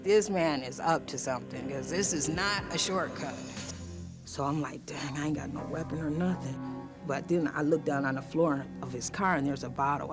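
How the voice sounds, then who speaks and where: A woman with an American accent, recounting events casually and vividly in an interview, in colloquial speech. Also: deep voice. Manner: colloquial, vivid retelling with quoted thoughts. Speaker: interviewee, attack survivor.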